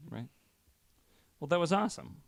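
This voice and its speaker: A man's voice: on the high side, very animated, moderate pace, vocal fry, no echo. A man hosting a podcast, chatting casually in a loose, informal way. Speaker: podcast host. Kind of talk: casual chat.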